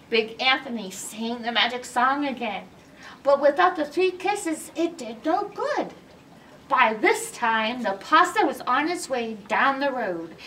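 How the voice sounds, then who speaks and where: A middle-aged woman reading a storybook aloud to children with expressive, dramatic delivery. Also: high-pitched, recorded from a distance, slight background noise. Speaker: children's librarian, story time reader. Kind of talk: animated storybook read-aloud. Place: indoors in a library by bookshelves.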